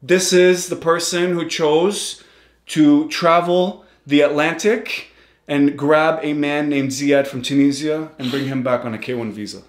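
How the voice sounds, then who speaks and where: A man co-hosting a reaction show, talking in an animated, rambling way with frequent restarts. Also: on the high side, a little slow, roomy sound. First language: English. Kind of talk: casual reaction commentary.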